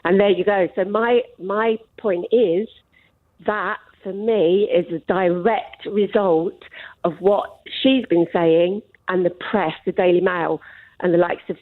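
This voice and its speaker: A woman's voice: fairly low pitch, steady tone, slow, no echo. A woman calling a radio phone-in from London, nervous and hesitant, with lots of fillers. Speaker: radio caller. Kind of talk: phone-in call. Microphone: telephone line. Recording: tinny phone line.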